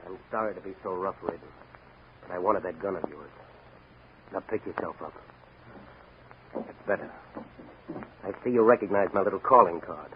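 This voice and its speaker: A man's voice: low-pitched, moderate pace, pauses often, lightly acted. A man playing a tough, confident young masked crimefighter in a 1940s radio drama, American accent, commanding and wry. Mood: cool, commanding. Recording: crackly, narrow-band 1940 radio recording.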